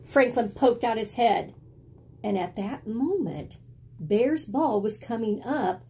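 A middle-aged woman reading a picture book aloud in an expressive, deliberate storytelling style, indoors at home. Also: a little high, slight background noise. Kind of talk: expressive children's read-aloud. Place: small indoor room at home with bookshelves.

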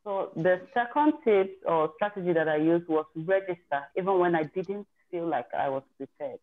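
A woman's voice: lowish voice, moderate pace. Woman on a zoom call sharing how she prepped, chatty and candid, tinny phone-ish sound. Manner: chatty and candid, with self-corrections. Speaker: participant sharing her exam success story. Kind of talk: casual storytelling on a group video call. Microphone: video call.

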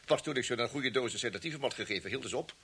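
An actor playing a fussy, eager-to-please police doctor in a radio play, hesitant and deferential. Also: male voice, mid-range voice, expressive, rapid-fire, sharp tone, a little hiss or hum. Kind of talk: Dutch radio play acting.

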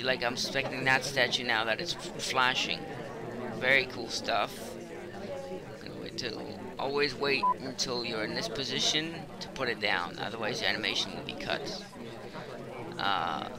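A man's voice: medium pitch, moderate pace, a loud crowd. A man with a cold, casually commenting on his own gameplay while he plays, rambling with lots of fillers. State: hoarse from a cold.

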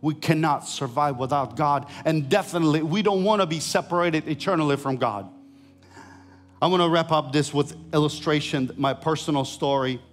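Animated Ukrainian-accented pastor preaching. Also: male voice, normal speed, faint music behind. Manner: animated preaching, swings between rising emphasis and quiet lowered lines. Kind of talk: sermon. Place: large church stage.

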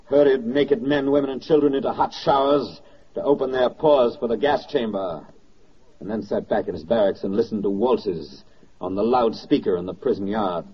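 An actor playing a grave, bitterly ironic wartime narrator in a 1940s radio drama, speaking in measured, declamatory phrases. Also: male voice, fairly low pitch, lightly acted. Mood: grim irony. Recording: dull vintage 1940s radio recording, music behind. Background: music.

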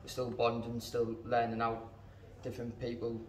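A young man answers as team captain after a match, matter-of-fact and leaning on fillers. His voice stays almost completely level in pitch. The room is echoey and the mic sits well away from him. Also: completely flat delivery, normal speed, distant mic, a little hiss or hum. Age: young adult. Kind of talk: post-match interview. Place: indoors in front of a club sponsor backdrop.

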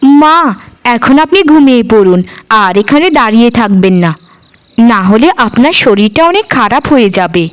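A woman's voice: fairly high pitch, lively intonation, normal speed, lightly acted. A woman playing a devoted, determined young daughter-in-law in a cartoon, speaking earnestly and respectfully. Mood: caring, determined. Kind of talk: cartoon dialogue.

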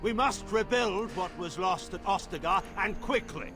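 Male actor as stern armored regent, high animated voice, imperious speeches, fantasy game, loud music behind. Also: moderate pace, natural voice. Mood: grave, commanding. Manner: forceful, declamatory, imperious. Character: stern, commanding armored nobleman regent addressing assembled lords. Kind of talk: dramatic fantasy game acting.

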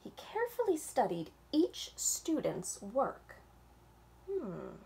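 A woman's voice: sits high, wildly swooping pitch, takes it slowly, vocal fry, warm tone. A woman in her thirties, a children's librarian, reading a storybook aloud expressively at home in front of a webcam. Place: home room with bookshelves, indoors. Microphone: webcam at desk distance.

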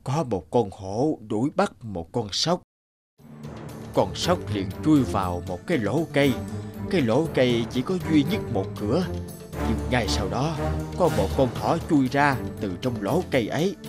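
A man playing a wise, patient elderly father in a cartoon, measured and instructive as he gives advice. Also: medium pitch, big pitch swings. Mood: storytelling. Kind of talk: cartoon dialogue and storytelling. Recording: background music under speech.

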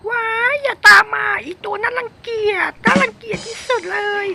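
A woman's voice: very high voice, steady tone, fairly quick, pitched above the performer's own voice. A woman voicing a disgusted citizen heckling in a game skit with screechy insults. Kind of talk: improvised game role-play skit. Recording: clear background noise.

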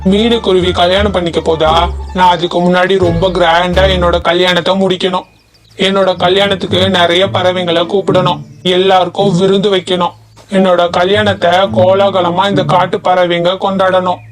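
A high male voice with little melodic movement, playing a vain young sparrow acted by a man. He sounds self-important and emphatic in children's cartoon style, with light music behind him. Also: little pitch movement, moderate pace, close to an everyday voice. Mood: boastful. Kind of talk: children's cartoon story voice acting.

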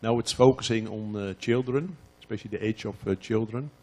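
A man's voice: lowish voice, brisk, darkish tone. A man asking a question from the audience in a large lecture hall, with many hesitant fillers.

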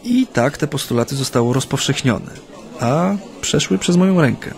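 An actor playing an earnest Japanese man recalling his activist past in a radio play, with a reflective, halting delivery. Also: fairly high pitch, expressive, vocal fry. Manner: hesitant, reflective, with frequent hums and pauses. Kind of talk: radio drama, conversational recollection.

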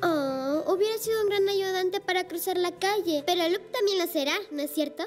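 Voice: very high-pitched, moderate pace. An actor voicing a thoughtful, cautious young motorcycle friend in a children's cartoon, earnest and caring. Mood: concerned. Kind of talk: animated children's cartoon dubbing. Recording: loud children's music behind the voice.

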